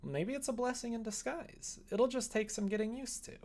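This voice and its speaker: A man's voice: extremely high pitch, normal speed, a little mellow, pitched above the performer's own voice. A man voicing an easygoing, aimless but kind young woman in a visual novel, slightly sheepish. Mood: resigned.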